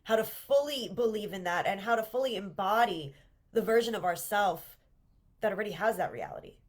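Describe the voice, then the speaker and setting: A woman's voice: mid-range voice, animated, a little fast. A congested woman with a cold, leading a live-streamed manifestation workshop in an earnest, encouraging way and often restarting her sentences. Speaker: manifestation coach hosting a livestream workshop. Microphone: livestream camera mic.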